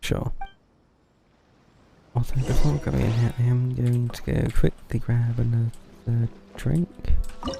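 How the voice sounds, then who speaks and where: A man giving low-key, casual gameplay commentary, thinking aloud as he streams. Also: fairly even intonation, slow, gravelly, pauses often, noisy background. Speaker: game streamer. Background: game music.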